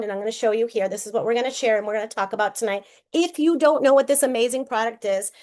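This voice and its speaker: Chatty Boston woman pitching live. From Boston area, USA. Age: 50s. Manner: chatty, keeps asking viewers to comment, frequent "right?". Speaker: network marketing presenter. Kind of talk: live-streamed sales presentation.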